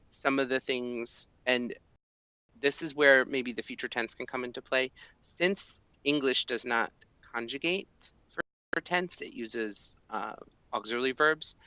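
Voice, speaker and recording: A man in his thirties, a language teacher, talking through an assessment form at his desk, thinking aloud with many ums and pauses. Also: medium pitch, bright, forceful tone, no echo, poor audio. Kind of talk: screen-recorded walkthrough of an assessment. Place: small indoor room at a desk.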